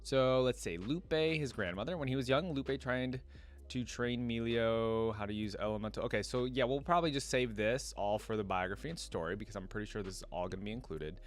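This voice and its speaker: A man's voice: medium pitch, brisk. A man hosting a reaction video with casual commentary, informal and thinking aloud as he goes.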